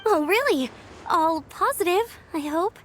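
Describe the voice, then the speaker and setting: An energetic young female scout in a game. Her voice is high and very lively, eager and friendly, with faint music underneath. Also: a little slow, exaggerated voice. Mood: cheerful. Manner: bright, eager, chatty. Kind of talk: video game character voice acting.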